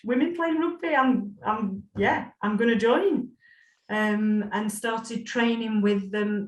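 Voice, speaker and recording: A woman's voice: medium pitch, reverberant, low-quality recording. A woman, thinking back as an interview guest, with plenty of ums and restarts. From Scotland. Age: 40s. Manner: warm, reflective, many fillers and restarts. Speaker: interview guest, former player. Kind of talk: casual interview.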